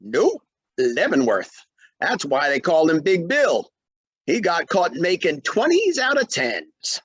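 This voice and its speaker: Man acting genial family friend, chummy sales patter, old-time radio comedy, lively pitch swings, dry sound. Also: unhurried, slightly rough, no echo, lo-fi sound, full character voice. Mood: salesmanlike.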